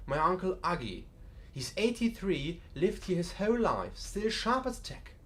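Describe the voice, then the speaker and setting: A man's voice: high-pitched, sing-song, a little slow, a bit bright, heavily acted. A man playing a genial, wry museum curator in an audiobook drama, chatty and dryly joking with a macabre humour. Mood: matter-of-fact.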